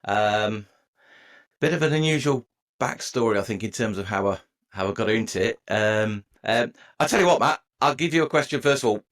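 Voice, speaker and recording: A man's voice: lowish voice, lively intonation, moderate pace, fairly bright tone. A man from England in his forties, a podcast guest telling his own story in a chatty, jokey way. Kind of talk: conversational storytelling.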